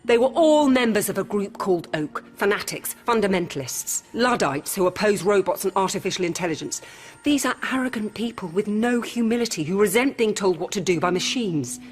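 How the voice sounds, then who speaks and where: A woman playing a calm, rational robot hybrid in human guise, speaking in measured, formal, didactic lines in a radio drama. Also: mid-range voice, husky. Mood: insistent, rational. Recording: music behind.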